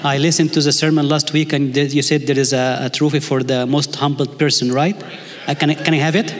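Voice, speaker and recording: A man's voice: fairly high pitch, level tone, brisk, background noise. A man with an Egyptian Arabic accent, a native Arabic speaker, preaching a sermon as a visiting pastor with a playful, joking manner. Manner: playful, jokes with the congregation. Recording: long hall reverb.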